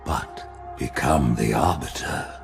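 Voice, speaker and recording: An actor playing an elderly, calculating alien high prophet in a game cutscene, speaking in a solemn, measured, commanding and gravelly way. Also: male voice, over music. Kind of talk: dramatic game cutscene acting.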